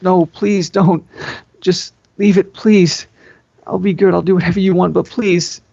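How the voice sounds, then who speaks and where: A man acts out a young storybook princess, anxious and lovestruck. The voice is pushed higher, gasping and pleading, with some creak. It sits in a dull, roomy amateur recording. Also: steady tone, measured pace, warm tone, heavily acted. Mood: frightened pleading.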